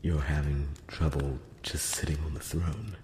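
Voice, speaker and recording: A man playing a courteous, cautious elf emissary in a close-up ASMR roleplay, speaking in a hushed, breathy, halting whisper and murmur. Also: rumbling low, steady tone. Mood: gentle, curious. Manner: hushed, halting, measured, earnest. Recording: roomy long reverb, faint noise.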